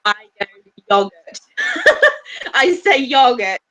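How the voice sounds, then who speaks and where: A woman's voice: fairly high pitch, animated, takes it slowly, bright, forceful tone. A woman with a British-tinged Australian accent, chatting casually on a livestream as co-host, rambling with many restarts. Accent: mixed British and Australian. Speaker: YouTube anime reviewer, livestream co-host.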